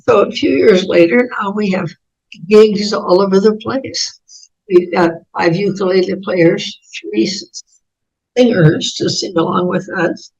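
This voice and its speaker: Raspy, creaky elderly American woman. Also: mid-range voice, measured pace, a little mellow, muffled recording. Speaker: interview guest, author and speaker. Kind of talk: reflective personal storytelling.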